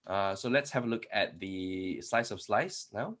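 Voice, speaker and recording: A man's voice: on the low side, a little slow, fairly bright tone. A man giving a conference talk on programming, explaining step by step with many restarts and rhetorical questions while typing code. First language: not Polish. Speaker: conference speaker. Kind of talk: live-coding tech talk.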